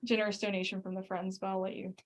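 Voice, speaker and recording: A woman with a mid-pitched voice speaks casually at a board meeting. She is relaxed and chatty, dropping in quick asides as she goes.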